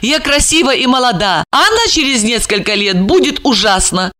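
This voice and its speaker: Woman acting out a shrill, scornful young mistress. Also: big pitch swings, normal speed, pushed and bright. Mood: wheedling, bitter. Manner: shrill, mocking, theatrically emotional. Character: young scornful, jealous, pleading mistress, spiteful and hot-tempered.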